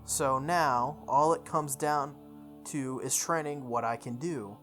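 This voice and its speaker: A woman with a low-pitched voice hosts a game playthrough over clearly present music. She reads story text aloud in a deliberate, measured way and adds chatty, casual commentary of her own. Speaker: gaming video host.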